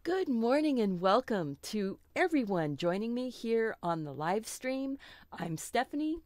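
A middle-aged woman with a slightly froggy voice, hosting a relaxed live painting lesson and chatting reassuringly with little asides and self-corrections. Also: on the high side, unhurried, no room sound. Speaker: art teacher hosting a live stream.

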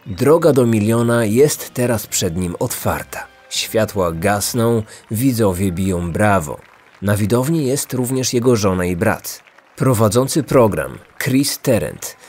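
A man's voice: fairly low pitch, animated, measured pace, breathy edge, faint music behind. A man in his thirties reading scripted true-crime narration as a podcast host.

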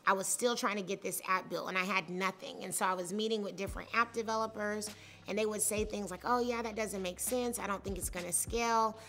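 A woman in her thirties tells how she started her company, with a high-pitched voice. She talks candidly and conversationally, with plenty of fillers. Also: fairly even intonation, a little fast, pushed and bright, a little hiss or hum. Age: 30s. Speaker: startup founder. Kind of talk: personal story told in interview. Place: studio set with leafy wall.

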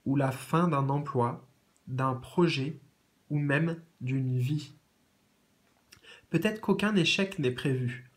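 A man with a cold and a sore throat giving a tarot reading in a gentle, repetitive way with many fillers. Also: a little high. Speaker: tarot reader. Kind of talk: tarot reading, reading out card meanings. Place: indoors at a card table.

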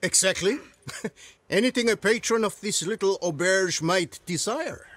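An actor voicing a roguish, swaggering prison inmate in a video game, theatrical and chatty. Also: male voice, sits high, measured pace, a bit bright, no room sound. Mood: boastful, sly. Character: cocky, roguish male jailbird, self-styled prison king.

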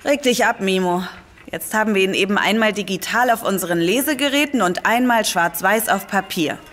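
A woman's voice: mid-range voice, fairly bright tone, natural voice. An actress playing an impatient young female scientist in a radio play. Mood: teasing. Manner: brisk, placating then impatient.

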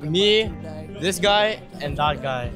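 A man's voice: fairly high pitch, animated, slow pace, music in the background. A young man giving jokey, offhand introductions among friends at a night-time outdoor patio. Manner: joking, offhand.

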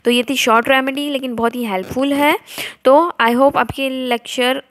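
High-voiced Indian woman giving a lecture. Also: fairly even intonation, moderate pace, fairly bright tone. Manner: switches between reading out and explaining. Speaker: homeopathy lecturer. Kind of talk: explanatory lecture, reading notes aloud and explaining.

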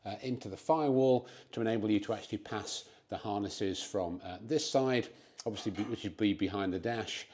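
A man in his fifties hosting a DIY vlog from his garage, explaining things casually with frequent pauses on a lapel mic. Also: on the low side, a little mellow, slight background noise. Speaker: host of a DIY car-building vlog. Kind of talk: casual instructional talk to camera. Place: home garage. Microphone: clip-on lapel mic.

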